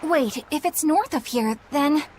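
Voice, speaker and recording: A woman's voice: sits high, lively intonation, measured pace, a little mellow, slight background noise. An actress playing an earnest, polite young woman exorcist in a video game cutscene. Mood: alert, uneasy. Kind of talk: animated game cutscene acting. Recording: background music over dialogue.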